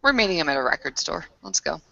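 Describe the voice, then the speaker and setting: A woman playing a tabletop roleplaying game, speaking casually and improvising in a halting way. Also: low voice, very animated, vocal fry, sharp tone, no room sound, highs cut off.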